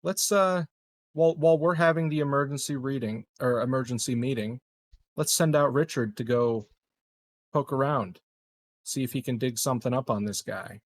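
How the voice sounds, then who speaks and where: A man's voice: mid-range voice, normal speed, no room sound. A man playing a tabletop game, chatting casually and thinking aloud in a hesitant way.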